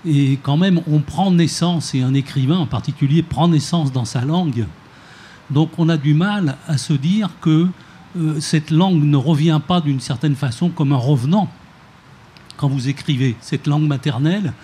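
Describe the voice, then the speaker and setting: A man in the audience asking a writer a measured, searching question, sometimes stumbling over his words. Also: on the high side, mellow, breathy edge.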